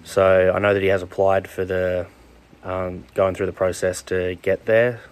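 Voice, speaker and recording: Man, podcast host, gentle and soft-spoken, low-pitched, monotone delivery. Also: measured pace, smooth. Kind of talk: casual interview.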